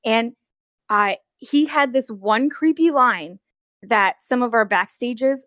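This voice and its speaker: A woman telling work stories as a guest in a casual, animated, giggly chat with some swearing, on an amateur recording. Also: a little high, takes it slowly, no echo, studio quality. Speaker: guest, costumed theme park character performer. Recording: amateur recording with bad audio.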